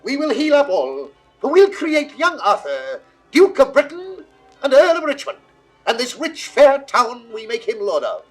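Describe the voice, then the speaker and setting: An actor playing an imperious king in a 1960s classical Shakespeare audio drama, declaiming in a husky, theatrical voice. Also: big pitch swings, unhurried, faint music behind, full character voice, low-quality recording. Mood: commanding, expansive. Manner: declamatory, theatrical, swinging from hushed to booming. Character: imperious, grandiose king, bargaining and commanding.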